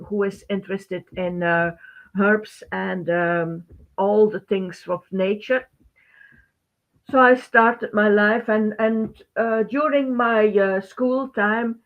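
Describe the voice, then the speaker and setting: A middle-aged woman from Belgium, a native Dutch speaker with a Belgian Dutch accent, presenting a webinar informally in halting, non-native phrasing. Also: mid-range voice. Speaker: webinar host, teacher. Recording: thin phone-like band-limited webinar audio.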